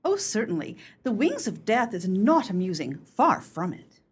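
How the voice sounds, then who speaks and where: A woman's voice: medium pitch, big pitch swings, moderate pace, breathy edge. A woman acting in a radio play as a timid, flustered club lady, nervous and eager to please. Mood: anxious, agreeable. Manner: nervous, hesitant, deferential.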